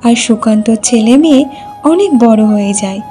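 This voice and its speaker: High, mellow-voiced woman storyteller. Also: expressive, normal speed, soft background music. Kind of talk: scripted cartoon folk-tale narration.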